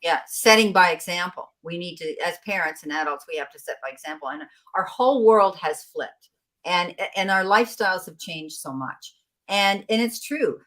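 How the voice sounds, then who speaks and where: A middle-aged woman hosting a podcast, with a slightly low voice. She talks in a chatty, conversational way and keeps affirming what her guest says. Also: a bit bright. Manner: chatty, agreeable, quick to affirm. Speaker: podcast host. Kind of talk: podcast interview.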